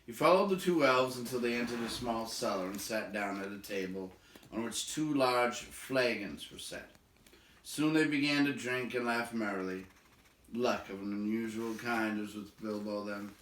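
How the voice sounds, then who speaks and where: A man's voice: on the low side, normal speed, a bit bright, slight background noise. A man reading a storybook aloud to listeners, moving between narration and casual remarks. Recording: roomy echo, distant from the mic.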